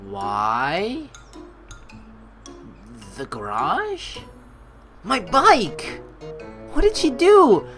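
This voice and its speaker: A woman doing a game playthrough, reading aloud and commenting with expressive, dramatic reactions. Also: mid-range voice, very slow, pauses often. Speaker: let's play streamer. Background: game music.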